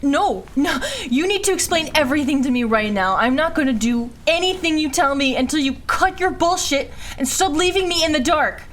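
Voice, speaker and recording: A woman's voice: sits high, expressive, moderate pace, few pauses, a bit bright. Actress doing an anxious young woman in an audio roleplay, hesitant, emotional, noise in the background. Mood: angry, defiant. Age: young adult. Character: anxious, self-doubting young woman, defiant when pushed.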